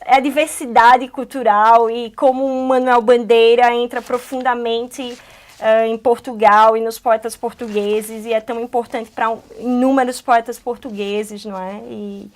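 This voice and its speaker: High-voiced Northeastern Brazilian woman. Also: moderate pace. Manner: animated, musing, many "não é?" tags. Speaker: radio show co-host. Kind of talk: casual conversation about poetry.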